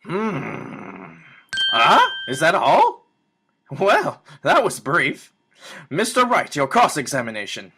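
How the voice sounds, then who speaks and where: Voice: mid-range voice, huge pitch swings, slow, sharp tone, breathy edge, exaggerated voice. An actor playing a stern, easily startled elderly male judge in a fan-dubbed courtroom drama, speaking in a formal, pompous way. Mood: unimpressed. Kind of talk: fan dub courtroom game acting.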